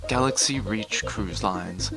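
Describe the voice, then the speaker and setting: A man's voice: on the low side, slow, creaky. A man playing a polished, promotional cruise line announcer in a scripted parody commercial. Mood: smooth selling. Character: slick male pitchman for a space cruise line. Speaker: advertisement announcer. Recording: music behind the voice.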